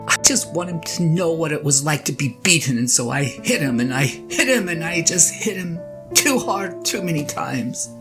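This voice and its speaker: Woman acting as elderly shepherd husband, lowish voice, swooping animated pitch, halting, sheepish, excitable, audio drama. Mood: earnest, remorseful. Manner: halting, stammering, flustered. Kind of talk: audio drama monologue, one side of a conversation.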